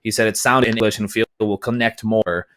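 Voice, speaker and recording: A man with a fairly low voice speaks as a panelist on a talk show. His delivery is a casual, conversational recap. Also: level tone, a little fast, fairly bright tone. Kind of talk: casual spoken recap of news.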